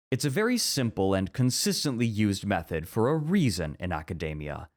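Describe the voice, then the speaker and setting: Man playing a deep-voiced, strict professor, formal and pedantic. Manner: formal, measured, pedantic lecturing. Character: strict, orderly male academic teacher, easily flustered by teasing. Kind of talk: scripted ASMR roleplay acting.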